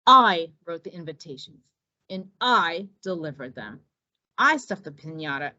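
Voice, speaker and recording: Bossy storybook iguana, played by a woman, swooping pitch, emphatic, exasperated, roomy sound. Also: big pitch swings, measured pace, sharp tone, full character voice. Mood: indignant. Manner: emphatic, indignant. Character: bossy, exasperated female iguana doing all the work. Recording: roomy, slightly dull recording.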